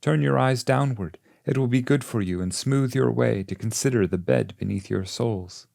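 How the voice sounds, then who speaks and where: A man's voice: medium pitch, moderate pace, creaky, darkish tone, no echo, voice pushed up. A wise male guide from an epic poem, acted out by a male audiobook reader, exhorts his pupil formally. The voice is raised well above the plain narration, instructive and admonishing, in a dry recording. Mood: guiding. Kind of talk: literary audiobook character reading.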